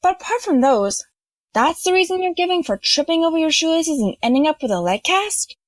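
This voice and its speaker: A woman's voice: very high voice, very animated, a little fast, no room sound. A woman playing a haughty, dry-witted rich young student who scolds sardonically in an audio drama. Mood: exasperated, scolding.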